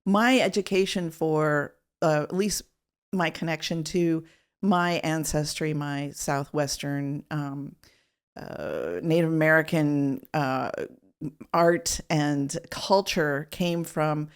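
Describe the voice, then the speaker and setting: A woman with a fairly low voice answers questions in a casual interview, feeling her way through each thought. She pauses often, restarts her sentences and fills the gaps with ums, never hurrying. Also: smooth. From Tucson, Arizona. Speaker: interview guest, artist.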